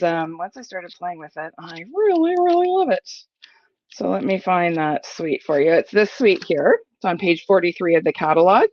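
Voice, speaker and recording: A woman with a slightly croaky voice from a cold, chatting through a live card-making tutorial at her craft desk and answering viewer questions. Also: lowish voice, no room sound. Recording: dull lo-fi dry sound.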